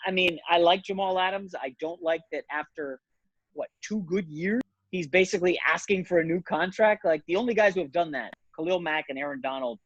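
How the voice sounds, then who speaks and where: Higher-pitched man talking sports, slightly dull audio. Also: no echo. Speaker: sports betting commentator, interview guest. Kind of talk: casual sports talk, opinionated analysis.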